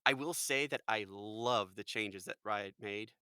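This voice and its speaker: A man in his forties, a voice actor and podcast guest, chatting in an animated way with a fairly high-pitched voice. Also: a little slow, smooth, no echo, studio quality. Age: 40s. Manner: chatty, animated storyteller, quotes people in lively voices. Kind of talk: casual chat.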